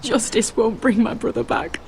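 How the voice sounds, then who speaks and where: A woman's voice: fairly high pitch, fairly even intonation, moderate pace, gravelly, a little hiss or hum. An actress playing an anguished, grieving young noblewoman in a dramatic game, emotional and pleading. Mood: weary, bereft. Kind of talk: dramatic video game voice acting.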